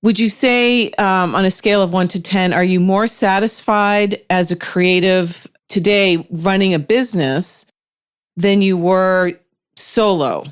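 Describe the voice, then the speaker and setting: A woman's voice: mid-range voice, a little slow, clean tone. A middle-aged woman hosting an interview, thoughtful and searching for words as she frames her questions. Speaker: podcast host, interviewer.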